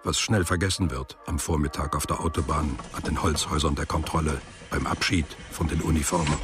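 An actor playing a sober, matter-of-fact male narrator in a literary radio play, speaking in a measured way. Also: very deep voice, fairly even intonation, music in the background. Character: sober male narrator recounting a traveller's return.

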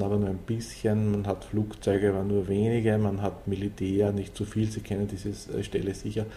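A man gives learned, wordy explanations in an interview. His voice is lowish and dark, and his intonation stays very flat. There is slight noise behind him. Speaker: interviewee, commentator on literature. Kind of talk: unscripted explanatory interview talk.

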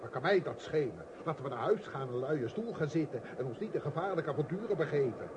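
An actor playing a middle-aged, henpecked shopkeeper in a radio play, querulous, blustering and full of self-pity. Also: male voice, fairly high pitch, expressive, fast talker, lightly acted. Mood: indignant self-pitying. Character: grumbling, self-pitying small shopkeeper, henpecked husband and father. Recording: noisy old radio play recording.

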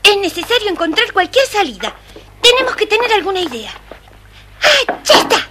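A resourceful little boy with a very high, childlike voice. He speaks earnestly and protectively, on an old storybook recording with clear background noise. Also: sing-song, normal speed. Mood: determined. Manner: earnest, reassuring. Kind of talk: dramatized children's audio storybook acting.

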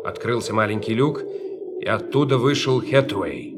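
A man's voice: fairly low pitch, expressive, measured pace, very noisy. A man narrating a space expedition tale in an audio play, in scripted dramatic style. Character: male storyteller narrating a Mars expedition tale. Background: loud music.

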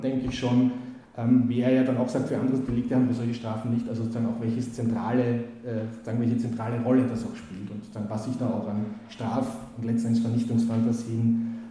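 A man's voice: mid-range voice, dark tone, slight background noise. A man giving a spoken lecture, hesitant with many fillers and restarts. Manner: hesitant, full of "äh" and restarts, reads quotes aloud. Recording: very echoey hall.

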